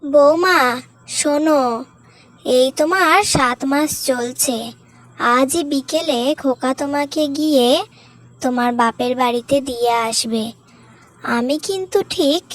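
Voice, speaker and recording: A woman voicing a stern, domineering village mother-in-law who scolds and commands in a cartoon drama. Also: high voice. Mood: stern demanding. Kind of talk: Bengali cartoon drama voice acting.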